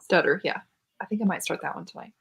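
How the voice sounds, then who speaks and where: A woman's voice: fairly low pitch, quick pace, vocal fry, a bit bright, breathy edge. A woman chatting casually about books as a co-host on a show. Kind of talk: casual book chat.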